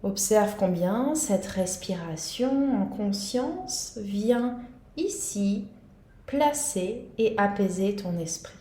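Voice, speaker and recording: A woman guiding a yoga nidra meditation in a calm, gentle, soothing manner. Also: mid-range voice, slow pace, roomy sound. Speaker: meditation guide.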